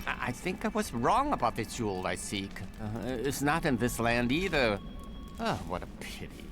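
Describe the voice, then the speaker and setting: A man's voice: medium pitch, very animated, moderate pace, close to an everyday voice. Game wanderer played by a man: secretive, wry treasure hunter, very varied intonation, music behind. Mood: rueful. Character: world-weary male wandering treasure seeker, secretive, wry. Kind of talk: video game acting.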